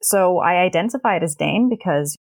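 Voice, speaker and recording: Low, gravelly-voiced woman guest. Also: lively intonation, moderate pace, darkish tone, no room sound, clean studio sound. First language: Danish. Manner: friendly, reflective storytelling. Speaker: podcast guest, parenting coach. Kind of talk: casual interview chat.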